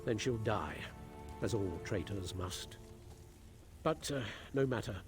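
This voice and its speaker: An actor playing a stern, proud high elf prince in a fantasy video game, formal and haughty with bitter disdain. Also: male voice, lively intonation, slow. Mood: stern, disdainful. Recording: game music behind the voice. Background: game music.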